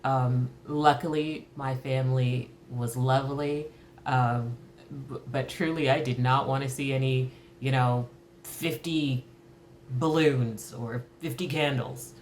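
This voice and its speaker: A woman in her early fifties reflecting candidly on her own life in a thoughtful, halting way, as in a personal interview. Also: extremely low pitch, fairly even intonation, a little hiss or hum. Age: early 50s. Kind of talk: reflective personal talk to camera.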